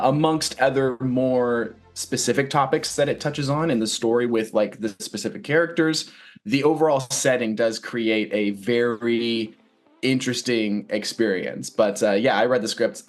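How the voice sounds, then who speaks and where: A man answering interview questions casually as a stage actor, earnest and thoughtful, often searching for his words. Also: mid-range voice.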